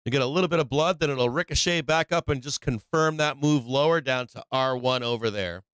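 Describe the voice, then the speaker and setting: Man, smooth clear voice, dry close sound, live stream host, casual market commentary, blunt, slangy, sweary. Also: mid-range voice, a little fast, fairly bright tone, no room sound. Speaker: live stream host of a stock trading show.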